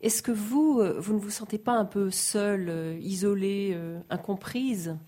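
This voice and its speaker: A woman's voice: medium pitch, measured pace, mellow. A woman interviewer asking long, formal questions full of small hesitations.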